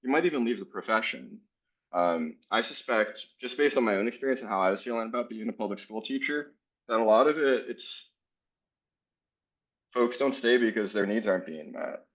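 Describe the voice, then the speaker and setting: A man's voice: lowish voice, lively intonation, moderate pace, dull audio. A man from South Florida leading an educator workshop in a casual, earnest way, with many "um" fillers. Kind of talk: conference workshop presentation.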